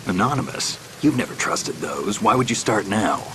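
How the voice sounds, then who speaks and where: A man's voice: lowish voice, normal speed. An actor playing a tough, terse male soldier on a stealth mission, talking in clipped, matter-of-fact lines in a video game cutscene. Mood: level. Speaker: special operative. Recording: game audio over steady rain noise. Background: rain.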